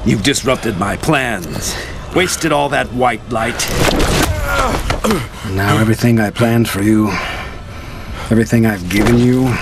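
An actor playing a cold, superior male villain in a video game, speaking with deliberate, condescending disappointment. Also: medium pitch, creaky, heavy background noise.

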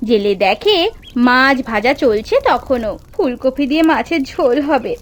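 A woman's voice: on the high side, lively intonation, measured pace. A woman giving scripted storytelling narration for a cartoon folk tale. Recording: music behind the voice.

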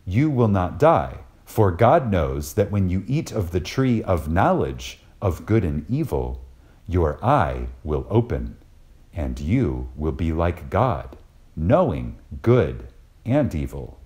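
A man delivers a lecture reading in a deep, mellow voice. His pitch moves widely as he stresses key words. He is reverent and dramatic, leaving deliberate pauses between phrases. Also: very animated. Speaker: reader of a recorded lecture.

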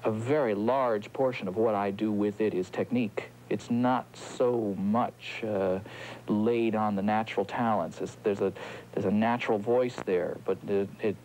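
A man's voice: on the low side, fairly even intonation, a little mellow, slight background noise, poor audio. A middle-aged man, an opera singer, talking thoughtfully in an interview, stopping and restarting often. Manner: halting, thoughtful, many restarts. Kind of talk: reflective interview talk.

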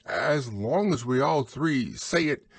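A little storybook pig, acted out by a man, talks in a raised, thin pitch. He is timid and anxious, hesitating nervously over his words. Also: expressive, takes it slowly, slightly rough, no room sound, full character voice.

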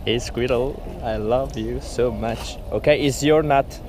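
A man's voice: mid-range voice, measured pace, a little mellow. A man in his twenties chatting casually to a handheld camera as he vlogs outdoors in the city. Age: 20s. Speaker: vlogger. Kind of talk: casual vlog chat. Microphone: camera at arm's length. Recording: noisy handheld outdoor street audio.

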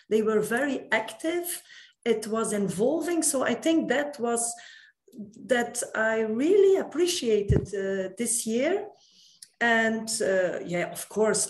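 Slow woman, echoey room. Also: on the high side, a little mellow. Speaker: podcast guest. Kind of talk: casual panel discussion. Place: indoors on a video call. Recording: echoey room on video call.

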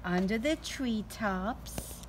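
A middle-aged woman doing an expressive, deliberate read-aloud storytime for young children. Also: medium pitch. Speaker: teacher reading to children. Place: outdoors in a sunny backyard. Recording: outdoor ambient noise.

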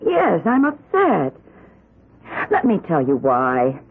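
A woman's voice: on the low side, wildly swooping pitch, slow pace, husky, full character voice. A woman playing a fretful, dissatisfied suburban wife in a 1970s radio drama, by turns hesitant and insistent. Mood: upset. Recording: narrow old radio recording.

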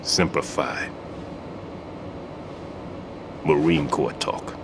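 An actor playing a grave, weary older bomb-disposal expert in a video game, measured and brooding. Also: male voice, very deep voice, steady tone, noisy background. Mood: guarded, suspicious. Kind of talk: dramatic video game acting. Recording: background music under the voice.

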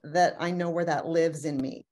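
Female actor, thirties, lowish pitch, thoughtful talkback style, recorded at home over video call. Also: fairly even intonation, normal speed, a little mellow. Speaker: actor in an audio drama cast. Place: room at home, indoors.

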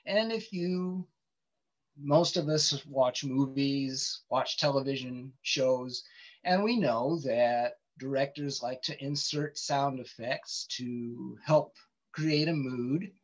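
A man's voice: medium pitch, takes it slowly. A man giving an informal online lecture over a video call, explaining things with many ums and restarts. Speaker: presenter giving a webinar talk. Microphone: computer mic on a video call. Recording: dull lo-fi call audio.

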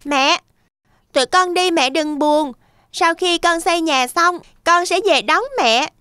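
A woman's voice: very high voice, unhurried, sharp tone, dry recording, studio quality, full character voice. A woman voicing an earnest, responsible little pig boy in a children's cartoon. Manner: earnest, admonishing.

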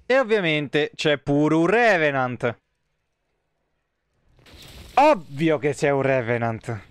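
A man's voice: on the high side, sing-song, takes it slowly, clear, resonant tone. A man, muttering casual, foul-mouthed commentary as he streams a game. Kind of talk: casual live gameplay commentary. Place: small indoor room, streaming setup.

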